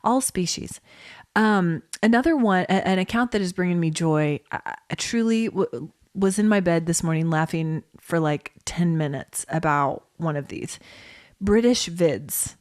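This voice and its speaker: A woman's voice: lowish voice, measured pace, a little mellow, dry recording, studio quality. A woman co-hosting a podcast in casual, bantering conversation. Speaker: podcast co-host.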